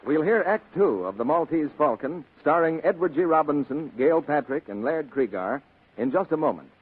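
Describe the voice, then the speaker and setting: A man's voice: expressive, moderate pace, slight background noise. An American man working as a radio announcer, delivering an emphatic scripted 1940s commercial. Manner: emphatic sales pitch. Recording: tinny 1940s radio broadcast.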